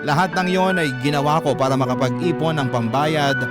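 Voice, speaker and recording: A man's voice: on the high side, fairly quick, natural voice. A man playing a troubled young Filipino husband who narrates his life story earnestly, like a confession, in a radio drama. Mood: earnest, resigned. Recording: loud music under the voice.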